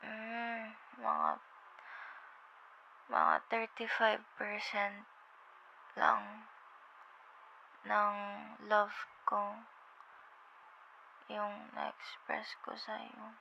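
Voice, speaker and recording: A woman playing a sleepy, affectionate girlfriend in an intimate ASMR roleplay, with a Filipino accent and a drowsy, halting, tender murmur. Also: mid-range voice, monotone, bright, forceful tone, full character voice. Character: sleepy, affectionate young girlfriend on a late-night call. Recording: muffled, with clear background noise.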